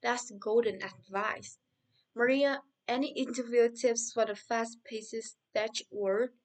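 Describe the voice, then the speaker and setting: A man's voice: very high-pitched, fairly even intonation, slow pace. A man playing a friendly, upbeat podcast host in a scripted interview for English learners. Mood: cheerful.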